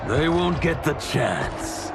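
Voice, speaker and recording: A man's voice: a little high, very animated, takes it slowly, very noisy. An actor playing a grim, threatening male villain in a video game. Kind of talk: video game cutscene acting. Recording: loud music under the voice.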